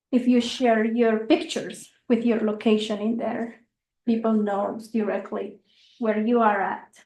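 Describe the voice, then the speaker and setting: Woman, young, earnest, halting, high voice, echoey room. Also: darkish tone. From Mexico. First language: not English. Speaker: speaker. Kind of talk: informational talk. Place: meeting room.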